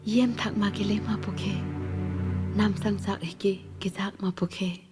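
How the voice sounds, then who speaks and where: A woman's voice: medium pitch, steady tone, measured pace, natural voice. Woman voicing a poetic film narrator over music. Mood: solemn, poetic. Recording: film clip with music underneath.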